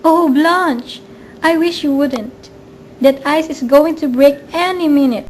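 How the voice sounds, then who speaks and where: A woman's voice: high-pitched, slow, a little mellow. A woman playing a timid, trusting woman in an amateur radio drama, anxious, hesitant and pleading. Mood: worried. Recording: faint background noise, amateur recording.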